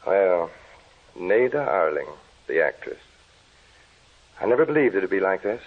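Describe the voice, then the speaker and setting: An actor playing a guilt-ridden, lovesick scientist's assistant with earnest, brooding delivery in old-time radio style. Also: male voice, low-pitched, big pitch swings, a little slow, husky, heavily acted. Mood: awkward, tender. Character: troubled, guilt-ridden male scientist's assistant, desperately in love. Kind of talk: 1940s radio acting. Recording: tinny old radio horror recording.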